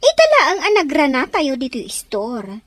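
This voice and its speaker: A woman's voice: sits high, wildly swooping pitch, moderate pace, no room sound. A woman playing a cheerful young secretary who giggles often and talks playfully. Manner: bubbly, giggly.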